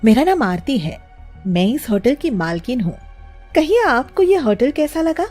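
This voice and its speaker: A woman's voice: sits high, big pitch swings, measured pace, a little mellow, soft background music, full character voice. A woman voicing a middle-aged hotel owner in a children's cartoon story, polite and formal as she receives guests.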